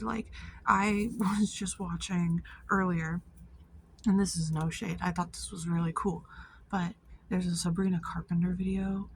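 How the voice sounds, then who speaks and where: A woman's voice: lowish voice, slight background noise. A woman giving casual vlog commentary in an informal, slangy way, peppered with "like" and swearing. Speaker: video creator.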